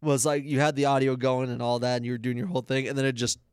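A young man, a podcast co-host, teasing his partner in fast casual banter. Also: medium pitch, little pitch movement, a little hiss or hum. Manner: fast, teasing, interrupts with jokes.

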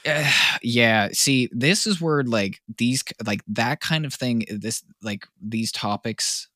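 A man's voice: medium pitch, takes it slowly, bright, forceful tone, no echo, clean studio sound. A man chatting on a podcast, rambling and often stopping to hunt for the right word. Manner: rambling, searching for words, many fillers. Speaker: podcast co-host. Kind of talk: casual podcast chat.

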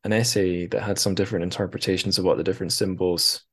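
A man's voice: low voice, fairly even intonation, quick pace, slightly rough. A man hosting a casual interview podcast, musing aloud with hesitant, tentative phrasing. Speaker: podcast host.

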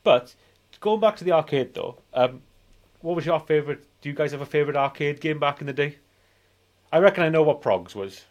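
A man's voice: fairly high pitch, lively intonation, fairly quick. A British man in his forties chatting casually as a podcast co-host, rambling and nostalgic. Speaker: film review podcast co-host. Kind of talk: casual chat.

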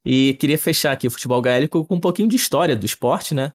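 A young man co-hosting a podcast, explaining sports history in a relaxed, chatty way, often adding little tag questions. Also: medium pitch, fast. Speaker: podcast co-host. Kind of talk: casual explanatory chat.

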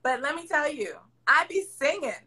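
A woman hosts a casual podcast chat, talking loudly and pressing her point with emphasis. Her voice is raspy, with a bright, forceful edge. It sits a little high for a woman. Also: animated, normal speed. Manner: loud, emphatic, encouraging. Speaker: podcast host. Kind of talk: casual chat over a video call.

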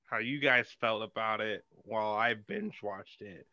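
A man joining a podcast as a guest, sharing frank opinions in a relaxed, casual chat. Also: on the low side, a little slow, a crowd in the background. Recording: dull lo-fi mic.

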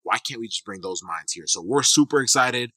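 A man's voice: medium pitch, lively intonation, brisk, pushed and bright, no room sound. A man from Kenya hosting a casual podcast as a physical therapist, talking loosely and eagerly with many restarts.

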